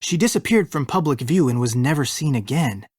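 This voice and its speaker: A man's voice: mid-range voice, animated, a little fast, a little mellow, breathy edge, no room sound, clean studio sound. A man playing an earnest, cautious, bookish young detective in a video game, careful and informative.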